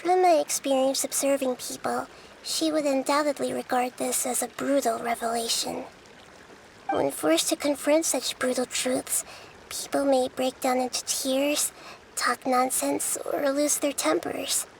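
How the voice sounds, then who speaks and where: A woman voicing a gentle, thoughtful little girl deity in a video game, speaking in a measured, earnest way. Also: sits high, steady tone, creaky, background noise. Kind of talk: video game character dialogue. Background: music.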